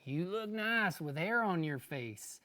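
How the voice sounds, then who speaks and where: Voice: medium pitch, lively intonation, takes it slowly, sharp tone, no room sound. A hapless little country boy, as a storyteller puts him on, eager and scatterbrained. He chants his phrases over and over in a storybook style, with a close, dry sound. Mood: muddled chanting.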